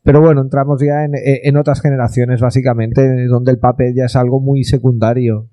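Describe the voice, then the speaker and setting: A man's voice: medium pitch, level tone, normal speed, mellow, no echo. A man speaking on a panel debate, reflective and nostalgic, often tagging his points with questions.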